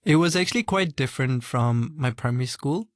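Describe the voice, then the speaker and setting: A man's voice: medium pitch, animated, fairly quick, no room sound. Earnest young man, dry close sound. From Hong Kong. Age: young adult. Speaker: guest. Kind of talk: interview answers.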